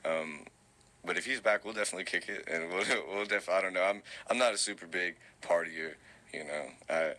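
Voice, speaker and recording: A young man, answering interview questions in a relaxed, rambling way with many fillers. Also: fairly quick. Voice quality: low voice. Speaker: musician guest. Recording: tinny phone-like recording.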